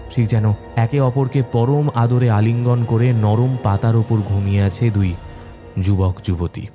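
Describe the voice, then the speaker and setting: Mellow male horror story narrator. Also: mid-range voice. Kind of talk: scripted dramatic narration. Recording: soft music bed behind narration.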